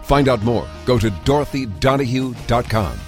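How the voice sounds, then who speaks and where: Male ad voice, middle pitch, steady persuasive read with quiet music behind. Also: normal speed. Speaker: ad announcer. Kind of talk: scripted radio ad read.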